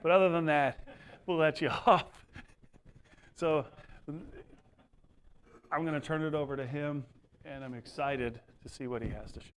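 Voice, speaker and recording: A man's voice: on the high side, fairly even intonation, unhurried, long pauses, fairly bright tone, a little hiss or hum. A man introducing a guest speaker at a gathering in a chatty, folksy way with some restarts. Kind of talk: spoken introduction.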